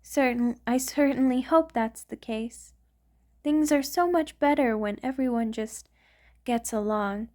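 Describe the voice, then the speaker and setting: A woman playing an affectionate, refined vampire in an ASMR romantic roleplay, speaking tenderly and a little hesitantly, with gently formal wording. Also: a little high, a little slow, lightly acted. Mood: worried, hopeful. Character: affectionate, refined vampire queen in love with a human.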